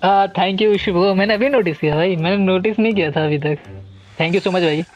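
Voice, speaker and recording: Very fast-talking Indian man streaming. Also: high voice. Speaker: game livestreamer. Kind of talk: casual chat with viewers. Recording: dull lo-fi stream audio. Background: game music.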